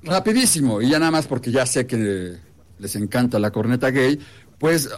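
A man's voice: medium pitch, lively intonation, normal speed. A man co-hosting a casual show, telling stories in a teasing way. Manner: storytelling, teasing. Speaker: co-host. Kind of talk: casual chat.

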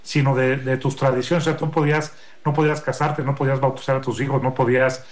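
A man's voice: medium pitch, level tone, fast. A man, a film producer answering a radio interviewer, hesitant and full of fillers. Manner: hesitant, lots of fillers and restarts.

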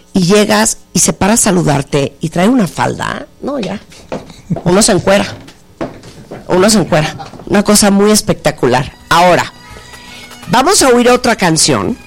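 A middle-aged woman with a Mexican accent hosting an interview in a playful, flirtatious, exclamatory way. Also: on the low side. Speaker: show host.

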